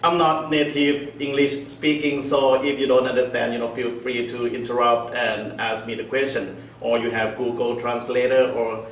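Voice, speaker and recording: A man with a Thai accent speaks informally to a small group in a humorous, self-deprecating way. His voice sits at a middling pitch. The room echoes and the mic is some distance away, with faint noise. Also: little pitch movement, moderate pace, few pauses. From northern Thailand. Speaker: guest speaker, restaurant owner. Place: indoor meetup room.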